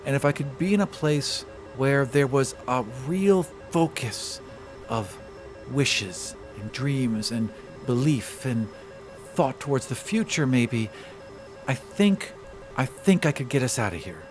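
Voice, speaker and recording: A man's voice: medium pitch, lively intonation, takes it slowly, faint music behind, low-quality recording. A man voicing a hesitant, earnest young woman in a tabletop game, rambling and repeating words. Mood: unsure, frustrated.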